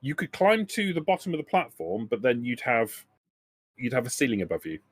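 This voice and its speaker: A man narrating a tabletop role-playing game as game master, describing scenes in a halting, self-correcting way. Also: medium pitch, sing-song, slightly rough, no echo.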